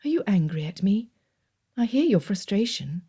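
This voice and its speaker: Witty young gentlewoman, read by a woman, soft, near-whispered, mellow, audiobook. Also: medium pitch, very animated, normal speed, breathy edge. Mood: soft teasing.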